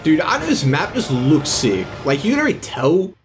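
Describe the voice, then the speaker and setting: A young man giving casual, excited, slangy live reaction commentary as a video host. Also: on the high side, normal speed, music in the background. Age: young adult.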